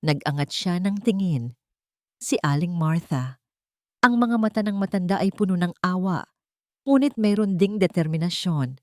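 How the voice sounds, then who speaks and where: A woman narrates a romance story from a script in a dramatic style. Her voice sits a little low. The recording is very dry, with no room sound. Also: expressive, normal speed, no echo.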